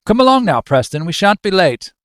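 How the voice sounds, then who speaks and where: Man playing a prim, high-voiced posh mother. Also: big pitch swings, brisk, no room sound, studio quality. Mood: fussing. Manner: prim, formal. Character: prim, fussing upper-class mother.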